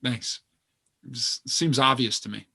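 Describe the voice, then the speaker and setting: A man's voice: medium pitch, fairly even intonation, unhurried, creaky, sharp tone, breathy edge, exaggerated voice. A man acting as an earnest, self-deprecating aspiring novelist in a stage play, hesitant and apologetic. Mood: earnest, embarrassed. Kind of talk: naturalistic stage play dialogue.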